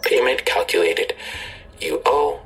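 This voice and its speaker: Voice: mid-range voice, expressive, slow, creaky, a bit bright, faint music behind, heavily acted. An actor voicing a sinister internet ghost that talks like a formal online market chatbot, heard through a computer speaker in an audio drama. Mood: cold, transactional. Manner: formal, scripted, repetitive customer-service phrasing. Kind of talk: full-cast audio drama acting.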